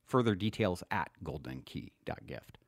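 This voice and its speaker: A man reading his own self-help book as scripted narration, earnest and motivational with deliberate emphasis. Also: low-pitched, very animated, no echo, studio quality.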